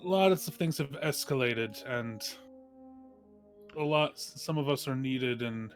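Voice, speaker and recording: A man's voice: medium pitch, unhurried, faint music behind. A man playing a tabletop role-playing game, talking casually in and out of character.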